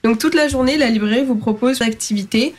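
A woman's voice: on the high side, fast. A woman hosting a radio show, chatting and reading out a schedule of events with small fillers. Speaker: radio host.

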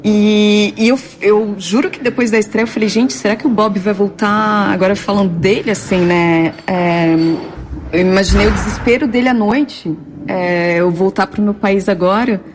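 A woman's voice: medium pitch, fairly even intonation, moderate pace. A woman with a Brazilian Portuguese accent, an actress talking earnestly and at length in an interview. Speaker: actress, guest. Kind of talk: interview conversation.

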